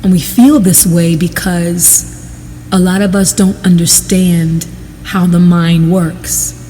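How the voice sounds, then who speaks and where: Slow, mellow-voiced woman guiding meditation. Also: lowish voice, fairly even intonation, in a large hall, a little hiss or hum, rough recording. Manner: measured, deliberate, pausing between short phrases. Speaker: guided meditation instructor. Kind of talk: guided meditation instruction.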